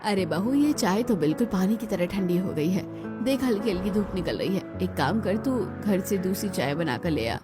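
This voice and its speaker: A woman's voice: mid-range voice, lively intonation, normal speed, lightly acted. Cartoon role: woman as older village mother-in-law, bossy, caring, scolding and instructing, music bed behind. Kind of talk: Hindi cartoon story dialogue.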